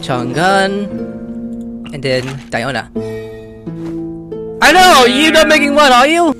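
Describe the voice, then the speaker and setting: An excitable gaming YouTuber giving casual, slangy commentary, jumping between muttered asides and squeals while playfully talking to game characters. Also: takes it slowly, music in the background. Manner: exclamatory, swings from muttered asides to high squeals.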